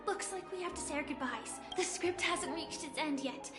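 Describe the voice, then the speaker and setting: A woman's voice: sits high, moderate pace, close to an everyday voice. An actress playing a playful, theatrical young female fugitive in a video game. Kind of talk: video game character dialogue. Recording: echoey game audio under loud music.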